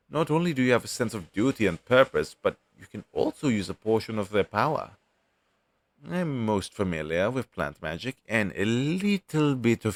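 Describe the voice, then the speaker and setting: A man playing a friendly demon crow familiar in a fantasy audio roleplay, talking to the listener in a conversational, reassuring, explanatory way with thoughtful hums. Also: fairly low pitch. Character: friendly male demon crow familiar advising a young witch.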